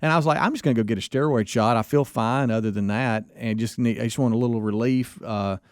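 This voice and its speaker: A man's voice: mid-range voice, quick pace, dry recording. Rambling male radio co-host, dry booth. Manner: rambling storyteller with many restarts. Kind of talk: casual chat.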